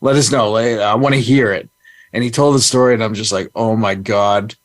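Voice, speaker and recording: A man co-hosting a podcast in a relaxed, halting way, pausing on ums and uhs. His voice sits fairly low and is recorded close and dry. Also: dry recording. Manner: laid-back, halting, many ums and uhs. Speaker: co-host. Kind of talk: casual co-host chat.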